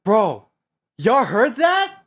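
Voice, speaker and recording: A streamer giving casual livestream game commentary in a slangy, excitable way. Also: mid-range voice, drawn-out words.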